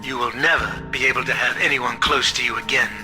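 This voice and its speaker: An actor playing a cold, sadistic espionage chief who speaks in articulate, deliberate threats in an audio drama. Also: male voice, mid-range voice, fairly even intonation, bright, forceful tone. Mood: gloating threat. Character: cold sadistic male espionage chief, villain taunting his enemy. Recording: music bed under the voice.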